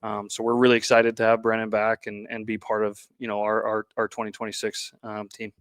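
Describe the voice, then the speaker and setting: Man, Canadian accent, low flat delivery, baseball coach, conversational interview, halting phrasing. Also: no echo. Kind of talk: conversational interview answers about sport.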